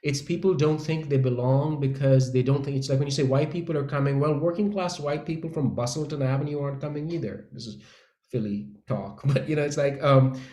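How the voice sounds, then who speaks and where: A man speaking as a panelist in a casual discussion, halting and correcting himself as he searches for words. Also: medium pitch, warm tone. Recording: echoey room sound.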